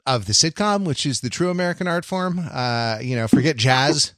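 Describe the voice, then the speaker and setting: A man's voice: medium pitch, animated, moderate pace, fairly bright tone, dry recording, clean studio sound. A man hosting a casual podcast discussion, searching for his words as he goes, with a playfully mock-grand streak. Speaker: podcast host.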